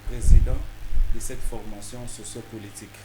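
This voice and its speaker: Political guest, male, Congolese French accent, mid-pitched, careful formal answers, roomy sound with clear noise. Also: level tone, moderate pace. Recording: echoey room, clear background noise.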